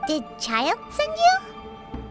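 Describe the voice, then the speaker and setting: A woman's voice: very high-pitched, huge pitch swings, drawn-out words, over loud music, full character voice. A woman voicing a tiny fairy-like girl companion in an anime video game, chirpy, cheeky and exclamatory. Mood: surprised, bright.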